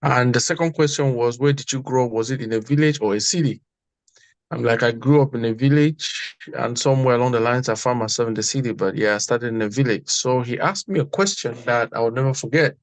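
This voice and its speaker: A man telling a story and making his point in a casual group talk, earnest and growing animated. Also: mid-range voice, a little fast, no echo. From Cameroon. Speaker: guest.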